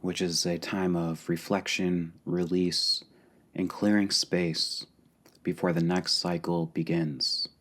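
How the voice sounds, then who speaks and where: A man leading a guided meditation calmly and deliberately, pausing between short phrases. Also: sits low. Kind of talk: guided meditation, slow and spacious.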